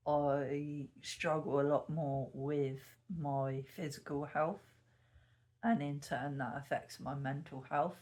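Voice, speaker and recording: Slow rambly youtuber talkin to the camera at home super early, bit sniffly, mid pitch. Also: a little mellow. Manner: meandering, self-correcting, laughs at self. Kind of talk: casual early-morning vlog chat to camera. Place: sitting at home indoors. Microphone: camera mic.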